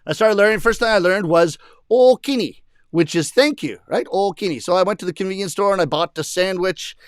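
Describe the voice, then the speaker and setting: A man performing comedy, telling stories to an audience in English, his first language, and shouting out the voices of the Japanese ladies he meets. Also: sits high, a little fast, clean tone, dry recording, clean studio sound. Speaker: rakugo comedian. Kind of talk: stand-up storytelling to an audience.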